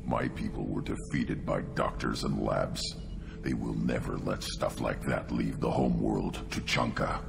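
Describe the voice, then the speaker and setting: An actor playing a young, seething alien warrior in a video game, growling in blunt, halting bursts. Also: male voice, steady tone, background noise, heavily acted. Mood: brooding, tense. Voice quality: very deep, gravelly growl. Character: young tank-born alien reptilian warrior, brutish, restless, seething. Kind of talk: video game dialogue acting.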